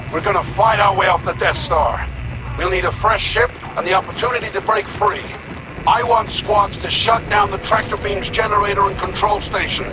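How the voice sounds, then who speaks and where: Male actor as rebel major, high voice, urgent, clipped, weary, game briefing, very noisy battlefield background. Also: lightly acted. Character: rebel major rallying troops, determined and weary. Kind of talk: scripted game mission briefing.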